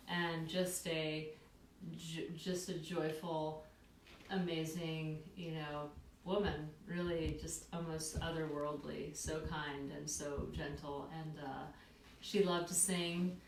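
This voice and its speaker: A woman with a very low voice talks gently and with feeling, pausing often as she gathers her words. She sounds set back from the microphone in an echoey room, and a steady hiss runs under her speech. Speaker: musician co-host. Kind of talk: casual chat between songs. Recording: roomy, distant mic with noise.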